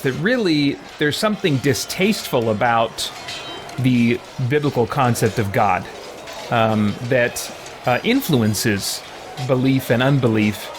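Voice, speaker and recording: A man giving casual live-stream game commentary, rambling as he thinks aloud and acts out lines. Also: medium pitch. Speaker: streamer playing a roleplay game. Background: music.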